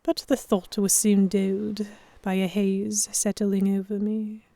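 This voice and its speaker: A woman's voice: mid-range voice, level tone, measured pace, creaky, mellow, no echo. A woman narrating an audio drama in the first person and acting out the dialogue. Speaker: narrator and lead actor.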